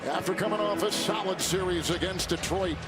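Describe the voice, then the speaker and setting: High-voiced male basketball play-by-play announcer. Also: fairly quick, loud music behind the voice. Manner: clipped, punchy phrases. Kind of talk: live play-by-play commentary. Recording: loud arena noise and bouncing ball. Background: basketball game ambience.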